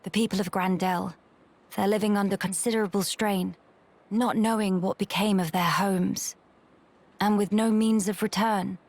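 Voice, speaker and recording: A woman's voice: medium pitch, steady tone, moderate pace, darkish tone, close to an everyday voice. A woman playing a dignified, earnest young noblewoman in a video game, with formal and precise speech. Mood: earnest, grave.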